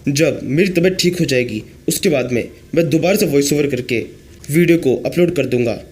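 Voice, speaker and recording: A hoarse man narrating a comic story recap as the channel's host. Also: fairly high pitch, expressive, fairly quick, a little mellow. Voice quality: husky.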